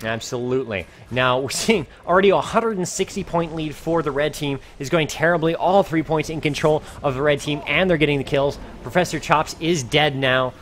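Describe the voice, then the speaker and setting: A man casting a live video game match, energetic and climbing in pitch and volume as the fights heat up. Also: moderate pace, hardly any pauses. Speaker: esports caster. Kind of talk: play-by-play game commentary.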